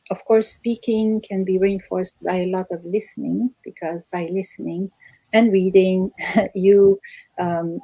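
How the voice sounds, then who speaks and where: A woman, a language teacher, giving measured explanatory interview answers over a video call, with frequent ums. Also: mid-range voice, warm tone, husky, no room sound, poor audio. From Algerian American, born in Morocco. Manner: measured, explanatory, many fillers.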